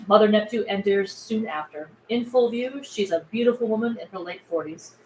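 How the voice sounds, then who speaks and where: A woman reading stage directions aloud as scripted narration at a table read. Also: mid-range voice. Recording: echoey room, faint background noise.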